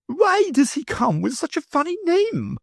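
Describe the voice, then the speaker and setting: A man reading an audiobook, voicing a haughty, scheming noblewoman in a high, shrill, falsely sweet voice. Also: wildly swooping pitch, unhurried, gravelly, no echo, clean studio sound, heavily acted. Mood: imperious.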